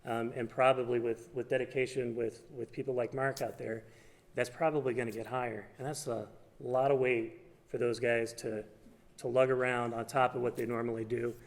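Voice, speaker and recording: A man from a food pantry giving an unplanned thank-you speech, halting and restarting as he goes. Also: mid-range voice, little pitch movement. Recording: reverberant hall.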